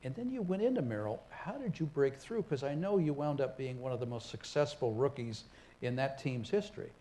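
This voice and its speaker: A man's voice: mid-range voice, expressive, a little fast, mellow, rough recording. A man hosting an onstage conversation, asking affable, probing questions. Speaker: moderator host. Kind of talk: interviewing onstage. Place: stage before a live audience.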